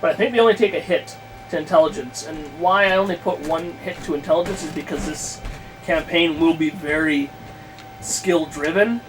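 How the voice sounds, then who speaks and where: A man chatting casually about his tabletop game plans, thinking aloud in a halting, self-correcting way with crude language. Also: a little high, over music. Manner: crude, freely swearing. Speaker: podcast guest host, game master. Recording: clearly noisy room recording.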